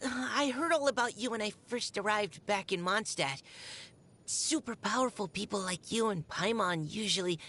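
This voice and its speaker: A young woman voicing a shy, gentle, earnest army scout in a video game, speaking politely and hesitantly. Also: medium pitch, normal speed, lightly acted. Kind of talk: video game character voice lines. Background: music.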